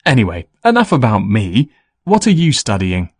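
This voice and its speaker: A man playing a busy, friendly young business student in a scripted, clearly enunciated listening-test dialogue. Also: on the low side, wildly swooping pitch, moderate pace, darkish tone, no echo, full character voice. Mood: earnest, friendly.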